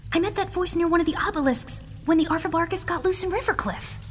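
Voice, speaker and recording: A woman playing a wary, teasing sidekick in an audio drama, casual, blunt and excitable. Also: very high-pitched, fast, in a large hall, slight background noise. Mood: puzzled.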